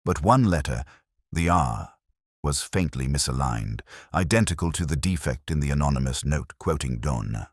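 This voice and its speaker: A man narrating a scripted first-person detective story in a measured, literary, reflective manner. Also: very deep voice, expressive, dry recording, studio quality.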